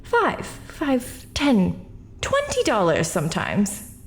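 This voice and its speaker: A woman's voice: a little high, huge pitch swings, slow pace, exaggerated voice. A woman playing a brash witness at an inquest, flippant and defensive in an audio drama. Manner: defensive, flippant, laughs things off. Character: brash, self-justifying woman on the stand.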